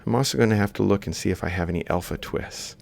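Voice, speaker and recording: A man's voice: fairly low pitch, quick pace, vocal fry, a little mellow. A man lecturing informally, thinking aloud as he explains step by step while drawing. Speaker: robotics lecturer.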